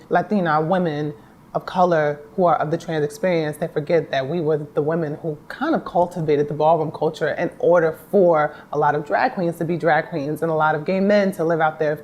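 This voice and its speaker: A woman's voice: low-pitched, darkish tone, slight background noise. A woman guest answering interview questions in an articulate, earnest way. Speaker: actress guest.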